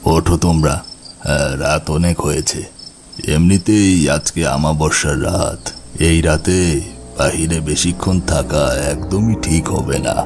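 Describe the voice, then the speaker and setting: A man voicing a stern, superstitious old village man in an animated ghost story. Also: very deep voice, slow pace. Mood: ominous warning. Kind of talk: cartoon dialogue. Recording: music bed behind the voice.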